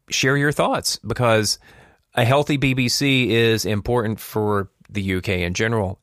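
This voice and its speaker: A man hosting a podcast in a conversational style, musing in a measured way with deliberate pauses. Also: medium pitch, fairly bright tone, dry recording, studio quality. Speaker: podcast host.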